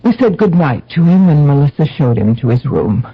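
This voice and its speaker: An actress playing a proud, anxious middle-aged mistress of a house in a radio drama, speaking formally and theatrically. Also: female voice, low-pitched, big pitch swings, normal speed, breathy edge, exaggerated voice. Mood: composed, reflective. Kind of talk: dramatic radio storytelling and acting. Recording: dull vintage radio, music underneath.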